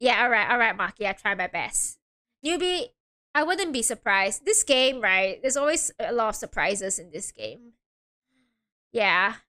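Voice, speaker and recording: A woman's voice: medium pitch, sing-song, a little slow, sharp tone. A woman streamer chatting casually with her viewers while playing a game, chatty and self-deprecating with frequent giggles. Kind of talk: casual live-stream chat.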